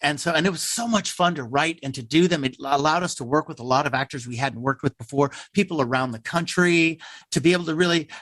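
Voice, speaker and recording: A middle-aged American man speaking conversationally as an interview guest, an animated storyteller who acts out remembered dialogue. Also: on the high side, fast talker, no echo. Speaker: interview guest, theater playwright, actor and director.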